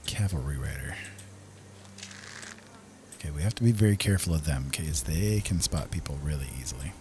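A man's voice: rumbling low, expressive, a little fast, noisy background. A man hosting a gaming video with casual, playful, chatty commentary, often talking to himself.

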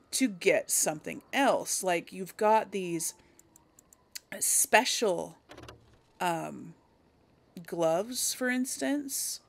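A woman giving casual video game commentary, thinking aloud as she plays. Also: mid-range voice. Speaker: video game let's player.

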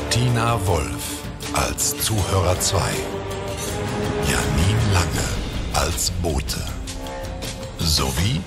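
A man with a gravelly voice reading scripted credits as an announcer. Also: sits low. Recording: very loud music behind.

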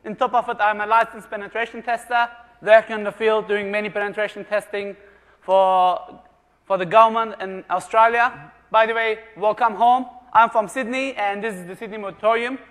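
High-voiced man presenting in a reverberant hall. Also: steady tone, normal speed, a bit bright. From Germany. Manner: jokey, asks the audience questions. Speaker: conference presenter. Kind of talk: lively conference presentation to a live audience. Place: large conference room. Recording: long reverb of a large hall.

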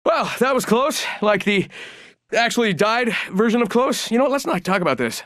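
A man's voice: sits high, animated, moderate pace, a bit bright, no room sound, studio quality. An actor playing a cocky, glib showman fighter who rambles and jokes, in a video game. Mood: rattled, joking.

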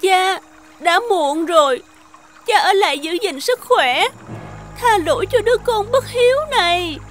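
An actress playing a devoted young runaway daughter in a cartoon folk tale, speaking with pleading emotion. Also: female voice, very high-pitched, animated, measured pace, faint music behind. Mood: heartbroken, resolute.

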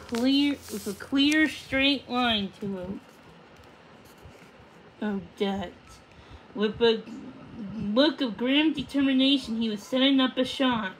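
A woman with a fairly high voice reads a children's book aloud. Her pace is slow and halting, with repeated words. Faint noise sits in the background. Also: low-quality recording. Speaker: storybook reader.

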